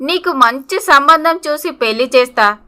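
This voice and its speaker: A woman's voice: high-pitched, expressive, moderate pace, bright, forceful tone. A woman voicing a greedy, scornful middle-aged mother in a story, with a haughty, scolding manner. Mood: cold, greedy.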